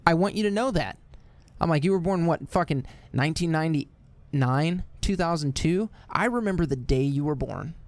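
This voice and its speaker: High-voiced man swearing through a story. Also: expressive, moderate pace, no room sound. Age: late 20s. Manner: loud storytelling, swears often, acts out quotes. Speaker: podcast co-host. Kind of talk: casual profane chat.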